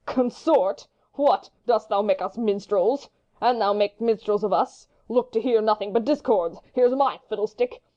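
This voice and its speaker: Hot-headed young wit played by a woman, mid pitch, theatrical mockery, classical stage verse, slightly dull recording. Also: a little slow, mellow, close to an everyday voice. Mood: mocking, defiant. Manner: taunting, theatrical, verbose. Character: hot-headed, mocking, witty young male swordsman. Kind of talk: Shakespeare audiobook dramatic reading.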